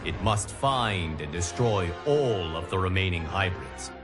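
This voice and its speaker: A stern, low-voiced guardian of timelines in a dramatic video game cutscene. He narrates slowly and solemnly, weighing each phrase with ominous gravity. Music plays clearly beneath his voice. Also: expressive. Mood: grave, ominous. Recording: music under the voice.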